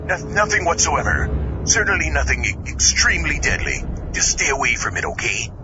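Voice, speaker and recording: A man's voice: a little high, sing-song, fairly quick, noisy background. A man playing a boozy, crude pirate sea captain in a comic audio drama, blustering and theatrical. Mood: urgent bluster. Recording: dull lo-fi recording under loud music. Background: loud music.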